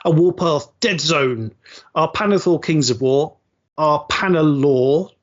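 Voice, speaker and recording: A man's voice: on the high side, a little slow, muffled recording. A man hosting a podcast in an upbeat, rambling, self-deprecating way, often stopping to restart. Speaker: podcast host. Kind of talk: casual chat.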